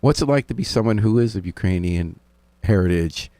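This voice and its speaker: A man with a very deep voice hosts a radio interview at a quick pace, conversational and prodding. He is recorded on a close studio mic with a dry, dead sound. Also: expressive, darkish tone, no room sound. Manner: conversational, prodding guests, self-correcting. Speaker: radio host. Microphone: studio microphone.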